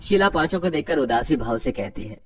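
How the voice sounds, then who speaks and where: A man's voice: a little high, animated, a little fast, recorded from a distance, music in the background. A man reading scripted story narration as the narrator.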